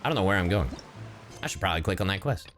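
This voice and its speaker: A man's voice: low voice, lively intonation, rapid-fire, fairly bright tone, faint music behind. A man streaming a video game, chatting casually with viewers in an irreverent, joking, slangy and sweary way. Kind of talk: casual livestream chat and game commentary.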